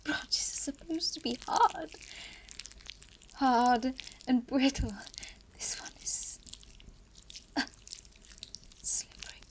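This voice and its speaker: A woman playing a weakened, haunted lone survivor in a horror radio play, speaking in halting, breathy, whispered fragments. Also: high voice. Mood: frightened, strained. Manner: halting, fragmented phrases with long pauses. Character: dying lone female survivor, entangled, haunted, bitterly resigned.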